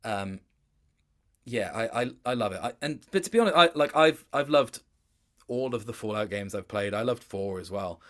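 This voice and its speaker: A man's voice: fairly low pitch, lively intonation, moderate pace. A man in his late twenties talking casually as an interview guest, rambling and correcting himself as he tells stories. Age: late 20s. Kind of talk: casual gaming interview chat.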